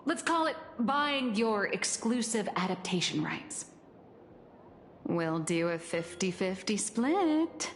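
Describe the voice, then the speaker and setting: A woman's voice: medium pitch, very animated, slow pace, in a large hall, slight background noise. An actress playing a playful, scheming creative woman in a video game, with theatrical, sly delivery. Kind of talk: video game character acting.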